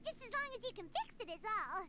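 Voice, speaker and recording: Casting: chirpy little fairy companion in a game, extremely high childlike voice, excitable, loud sounds behind. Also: female voice, fast, heavy background noise. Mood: reassuring. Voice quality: squeaky, childlike. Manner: bubbly, refers to self in third person. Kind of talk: video game character acting.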